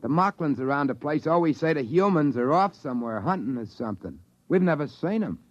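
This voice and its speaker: An actor playing an easygoing, folksy assistant manager of a trading post, with drawling, ungrammatical speech, in 1950s radio drama style. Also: male voice, fairly high pitch, animated, brisk. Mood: explaining. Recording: dull old radio recording.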